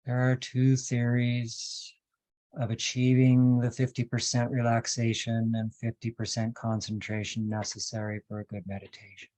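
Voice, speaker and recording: A man leading a guided meditation as a teacher, speaking in calm, deliberate, measured phrases with long pauses. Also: medium pitch.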